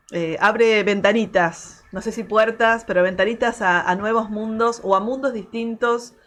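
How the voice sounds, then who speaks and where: An Argentine woman with a fairly high voice hosts a live radio show. She talks conversationally, thanking guests and addressing her audience. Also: fairly bright tone. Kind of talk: live talk show chat and sign-off.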